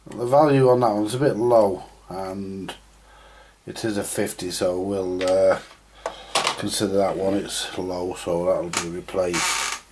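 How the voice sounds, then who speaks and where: A man with a husky voice, giving informal, unscripted hands-on commentary as he mutters through a repair, now and then mildly sweary. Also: sits low, steady tone, takes it slowly, a little mellow, a little hiss or hum. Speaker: host of a vintage radio repair video.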